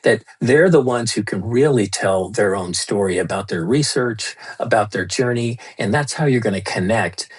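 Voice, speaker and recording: Casting note: male interview guest, husky and slightly breathy, talks loosely with plenty of "uh"s and restarts. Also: fairly low pitch, animated, moderate pace, few pauses. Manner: loose, with many fillers and restarts. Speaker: guest, storytelling lead at a space lab. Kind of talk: conversational interview answers.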